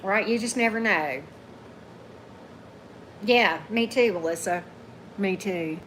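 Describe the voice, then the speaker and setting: Deep-voiced woman chatting on a livestream. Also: slow, fairly bright tone, slight background noise. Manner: chatty, keeps asking "right?", greets commenters by name. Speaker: tarot reader hosting a livestream. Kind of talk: casual livestream chat with viewers.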